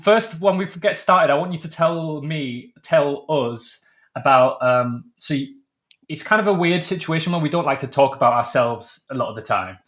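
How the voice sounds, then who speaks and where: A man's voice: fairly high pitch, expressive, moderate pace, a bit bright. A man hosting a casual interview, asking friendly, rambling questions with many restarts. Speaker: podcast host.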